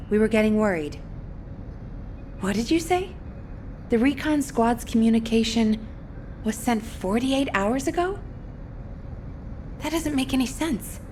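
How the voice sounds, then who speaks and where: A woman's voice: on the high side, darkish tone. Formal female operations commander, noisy background. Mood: worried, surprised. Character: composed, worried female military operations commander. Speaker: mission commander. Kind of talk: video game cutscene acting.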